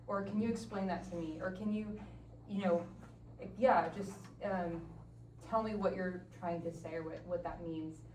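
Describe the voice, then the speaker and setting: A woman's voice: fairly low pitch, a little mellow. A woman in her late twenties, a missionary guest on a panel, speaking in a thoughtful, earnest way. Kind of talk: reflective panel talk. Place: large classroom. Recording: echoey room, mic far off, faint noise.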